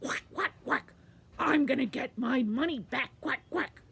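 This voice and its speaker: Small indignant storybook duck, played by a woman: loud, high, quacking between phrases. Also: a little slow, vocal fry, breathy edge, exaggerated voice. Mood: loud, determined. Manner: punctuates speech with repeated quacks. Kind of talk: children's folktale storytelling.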